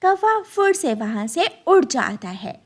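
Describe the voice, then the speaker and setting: A woman narrator reading a scripted animated moral story for children. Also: sits high, takes it slowly.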